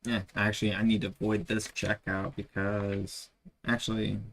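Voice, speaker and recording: A man's voice: fairly low pitch, little pitch movement, normal speed, gravelly. A tired man losing his voice, rambling with many fillers as he chats casually on a live trading stream.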